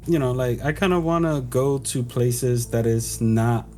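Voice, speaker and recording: A man co-hosting a podcast, talking in a halting, casual way with lots of "you know" and "like.". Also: mid-range voice, dark tone. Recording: faint background noise.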